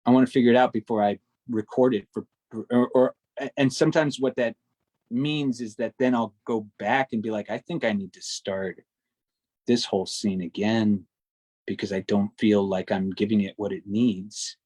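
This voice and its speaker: A man who narrates audiobooks, chatting casually in a relaxed, rambling way and often correcting himself mid-sentence. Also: fairly low pitch, moderate pace, no room sound. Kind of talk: casual video chat.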